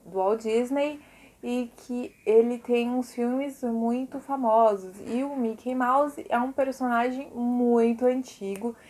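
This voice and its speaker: Female teacher, Brazilian accent, higher-pitched voice; informal explainer delivery for students, peppered with checking tags. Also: darkish tone. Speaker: art teacher giving a video lesson. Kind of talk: informal scripted-free lesson explanation to students.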